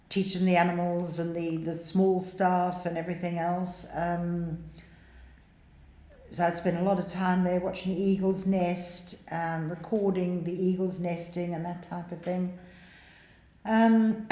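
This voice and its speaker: Mellow, low woman in an echoey room. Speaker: interviewee. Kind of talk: recalling life experiences.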